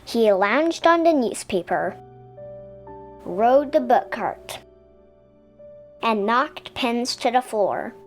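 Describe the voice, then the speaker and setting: A woman reading a children's story aloud as an expressive narrator. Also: a little high, slow, long pauses, soft background music. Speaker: storybook reader.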